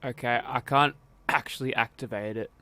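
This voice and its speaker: A man's voice: medium pitch, fairly even intonation, moderate pace, sharp tone, no echo. A man chatting casually and joking dryly while playing a game. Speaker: gamer, channel host. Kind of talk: casual chat while gaming.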